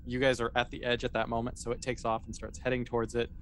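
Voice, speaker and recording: A man narrating a tabletop sci-fi game as game master, haltingly, often restating his phrases. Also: medium pitch, completely flat delivery, a little hiss or hum.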